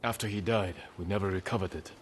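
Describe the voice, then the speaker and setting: An actor playing a stoic, resolute samurai in a video game, speaking in a measured, earnest, formal way. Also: male voice, low-pitched, fairly even intonation, a little hiss or hum, natural voice. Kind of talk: restrained game voice acting.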